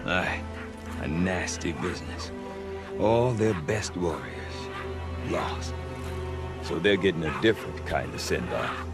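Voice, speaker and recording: An actor playing a wry, grave mountain village trader in a video game, speaking in a measured, knowing, theatrical way. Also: male voice, deep voice, lively intonation, exaggerated voice. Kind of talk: dramatic game dialogue. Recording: clear music behind. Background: music.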